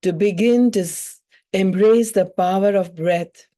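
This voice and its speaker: A woman yoga teacher leading a guided meditation in soothing, measured phrases with long pauses between them. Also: mid-range voice, mellow.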